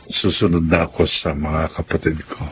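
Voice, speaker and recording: A low-voiced Filipino man, a devoted suitor resigned to losing. He speaks earnestly and sentimentally, with a faint noise behind him. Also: little pitch movement, moderate pace. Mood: bittersweet, resigned. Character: devoted, resigned male suitor bidding farewell. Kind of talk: Filipino radio drama acting.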